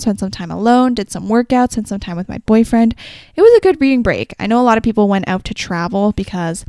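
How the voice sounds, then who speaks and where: A young woman hosting her own podcast, chatting casually and rambling a little, her voice slightly raspy from a sore throat. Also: medium pitch, dark tone, no echo, studio quality. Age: young adult. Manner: chatty and rambling, frequent "like" and "kinda". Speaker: podcast host. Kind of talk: casual solo podcast chat.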